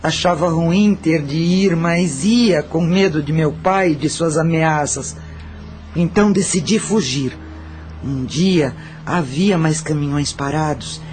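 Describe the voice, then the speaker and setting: A Brazilian man narrates a first-person audio novela. His voice sits a bit high for a man, and music plays softly behind it. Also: slow pace.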